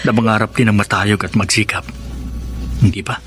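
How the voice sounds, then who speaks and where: A Filipino man playing a patient, protective older brother in a radio drama, speaking gently and earnestly. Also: fairly low pitch, steady tone, a little fast, close to an everyday voice. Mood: calm, consoling.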